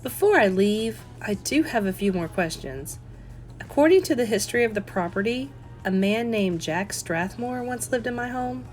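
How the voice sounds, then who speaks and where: A woman's voice: a little high, expressive, moderate pace, soft background music, natural voice. A woman voicing an earnest, persistent and polite widow playing amateur sleuth in an audiobook, with a lilting, emphatic delivery. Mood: inquisitive, polite. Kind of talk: audiobook character voice.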